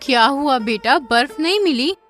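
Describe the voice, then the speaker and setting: Soft weary mother, high voice, cartoon story. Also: lively intonation, normal speed, soft background music. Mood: soothing. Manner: soothing, weary. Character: gentle poor village mother.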